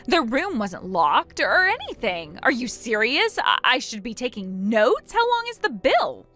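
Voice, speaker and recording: Lady doing an outraged young wife in a drama story, self-pitying, shrill when shocked, pitch jumps all over. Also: animated, normal speed, a bit bright. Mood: shocked protest. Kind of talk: acted dialogue in a melodramatic story.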